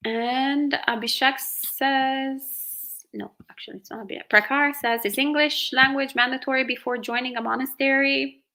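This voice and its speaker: A woman with an Italian accent hosting a casual live question-and-answer chat, talkative and quick to laugh. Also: high-pitched, steady tone, sharp tone. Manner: chatty, laughs often, fillers like "you know". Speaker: host reading viewer questions.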